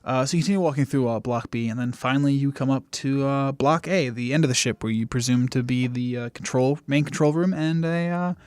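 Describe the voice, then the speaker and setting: A man running a tabletop game as game master, improvising scenes in a halting, informal, jokey way. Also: mid-range voice, a little mellow, smooth. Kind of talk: casual banter.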